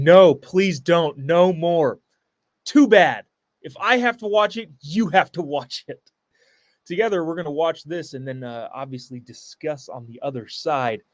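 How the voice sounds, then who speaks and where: A man's voice: medium pitch, no room sound. A man hosting a live show, giving sarcastic commentary on a clip and reading viewer comments aloud.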